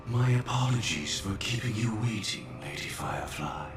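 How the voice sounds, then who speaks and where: A man playing a solemn, courteous, fatalistic antagonist in a video game, speaking in formal, measured pronouncements. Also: lowish voice, lively intonation, close to an everyday voice. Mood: coldly courteous. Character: grave, courteous, fatalistic male antagonist passing judgment. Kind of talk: dramatic video game voice acting. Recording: echoey, distant, music underneath. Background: game music.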